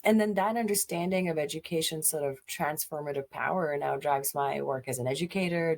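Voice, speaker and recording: A woman in her forties from Pakistan, an education director, answering interview questions earnestly with frequent fillers. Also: low-pitched.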